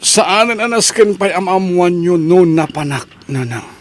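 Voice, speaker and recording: A man's voice: high-pitched, lively intonation, a little slow. A man acting an earnest, restless son who wants work, in an emphatic radio-style drama manner. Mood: weary, determined.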